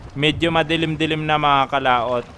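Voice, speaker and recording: A man's voice: fairly high pitch, steady tone, normal speed, bright, forceful tone. A man from the Philippines casually narrating a fishing trip to his viewers, explaining each step plainly from a small boat at sea. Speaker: fishing vlogger. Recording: wind and boat noise behind.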